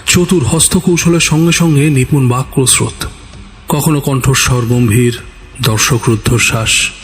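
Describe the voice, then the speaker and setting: A male storyteller with a slightly high voice delivers dramatic scripted narration. His delivery is theatrical and full of suspense, with music playing underneath. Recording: background music.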